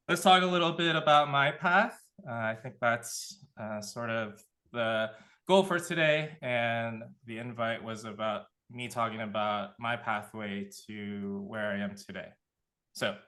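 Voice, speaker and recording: A man from California giving a relaxed career talk as a panelist, thinking aloud with many fillers. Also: lowish voice. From Contra Costa County, California. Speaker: panelist, nonprofit director.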